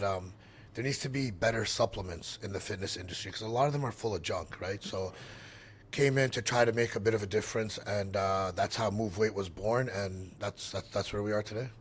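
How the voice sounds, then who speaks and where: A man in his forties, born in Syria, answering interview questions in a relaxed, plain-spoken way while getting over a cold. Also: fairly low pitch, slight background noise. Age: 40s. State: recovering from a cold. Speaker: guest, company founder.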